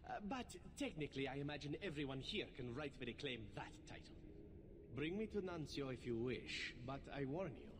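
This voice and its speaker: A suave game elf assassin. His pitch swoops playfully as he purrs and teases, never ruffled. Some noise sits behind the voice. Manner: teasing, purring, theatrical. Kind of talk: video game character acting.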